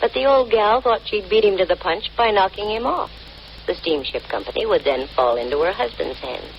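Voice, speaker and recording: A woman's voice: mid-range voice, animated, moderate pace, natural voice. Woman playing a wry lady detective, crackly old radio. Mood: matter-of-fact. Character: cool, flippant female private detective, unrepentant and wry. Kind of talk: old-time radio detective drama acting. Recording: dull, noisy vintage radio recording.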